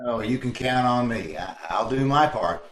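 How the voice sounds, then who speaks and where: A man playing an easygoing, obliging sleuth in an old-time radio drama, speaking in an affable, slightly halting way. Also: mid-range voice, expressive, a bit bright, roomy sound, close to an everyday voice.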